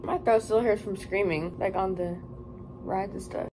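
A woman's voice: medium pitch, steady tone, normal speed. Young American woman vlogging over noisy background. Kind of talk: casual vlog chat. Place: hotel room. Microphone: camera or phone at arm's length. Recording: clearly noisy room sound.

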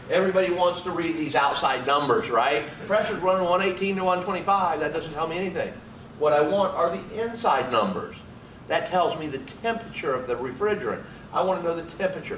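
A man's voice: a little high, a bit bright, a little hiss or hum. A man, a trainer teaching and quizzing students in a casual classroom manner. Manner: quizzing students, seated and gesturing broadly. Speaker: HVAC trainer. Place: training room with an AC unit. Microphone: camera mic from across the room. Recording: echoey room, voice from a distance.

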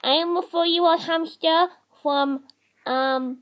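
A young-sounding female performer voicing a whiny, babyish four-year-old boy hamster in a homemade toy puppet skit, halting and repeating words. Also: very high voice, fairly even intonation, fairly bright tone, pitched above the performer's own voice, full character voice. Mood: babyish, fussy.

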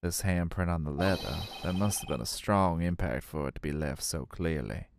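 A man's voice: sits low, moderate pace, background noise. A man voicing a stern, formal young prosecutor in a courtroom video game, speaking with precision over game music. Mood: stern, analytical. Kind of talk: voiced visual novel playthrough.

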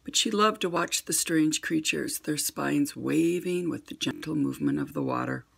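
An older woman reading a children's picture book aloud at home with gentle, expressive storytelling. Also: sits low, moderate pace, vocal fry, breathy edge.

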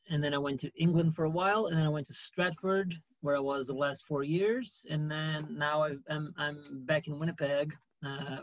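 A man's voice: fairly high pitch, no room sound, poor audio. A man in his thirties from Brazil, chatting casually as a guest on a video call. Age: 30s. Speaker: theatre artistic director and guest. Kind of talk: casual chat. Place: room at home.

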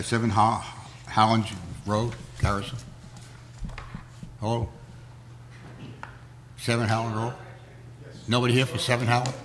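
A hoarse man with a cold, chairing a zoning board meeting in plain, folksy words, heard through a table mic in a town hall. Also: medium pitch. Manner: plain-spoken, folksy, many fillers.

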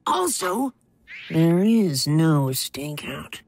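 A woman's voice: sits low, sing-song, very slow, a little mellow, no echo, heavily acted. A woman voicing a bossy, lovesick teenage boy superhero in a cartoon, dramatic and emphatic. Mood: exasperated. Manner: dramatic, emphatic, self-important. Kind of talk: animated cartoon voice acting.

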